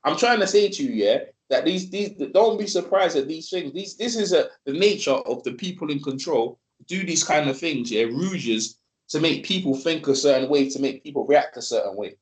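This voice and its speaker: Brief: male host, higher-pitched, rambling and repetitive, casual stream chat. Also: normal speed, low-quality recording. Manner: rambling, repetitive, animated conspiracy talk.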